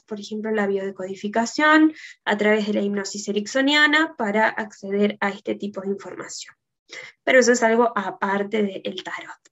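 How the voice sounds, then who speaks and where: A woman with a Uruguayan Spanish accent giving an informal slide presentation as a teacher, recorded indoors on a video call. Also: fairly high pitch. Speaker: tarot teacher giving a conference talk. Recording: dull, lo-fi video call audio.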